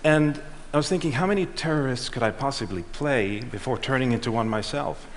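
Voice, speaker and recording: A middle-aged man giving a prepared talk on stage, telling a measured story and letting his lines trail off. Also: medium pitch, slight background noise. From Switzerland and Ghana. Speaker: speaker. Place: large auditorium. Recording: reverberant hall.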